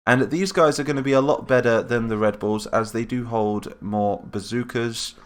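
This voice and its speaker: A man's voice: medium pitch, moderate pace. A man with a cold and a nasal voice, giving chatty, rambling commentary over a battle-simulation game and correcting himself as he goes. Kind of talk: casual gameplay commentary.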